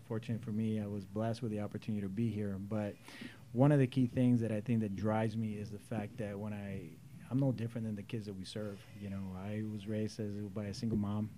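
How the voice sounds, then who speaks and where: A man's voice: fairly low pitch, warm tone, a little hiss or hum. A man in his forties from El Salvador, a youth club director, telling his story earnestly as an interview guest. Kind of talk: casual interview talk.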